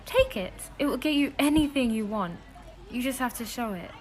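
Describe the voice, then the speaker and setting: A woman's voice: fairly high pitch, animated, moderate pace, a faint crowd, lightly acted. A woman playing a knowing, secretly scheming young guide in an audio drama, with a probing, insistent manner. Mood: pleading.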